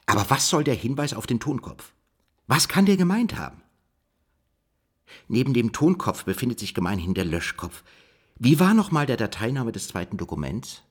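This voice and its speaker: An actor playing a pensive, erudite code-breaker in a radio play, musing and questioning in a husky voice. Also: male voice, medium pitch, big pitch swings, moderate pace. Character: pensive male code-breaker puzzling over word riddles. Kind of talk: German radio play dialogue.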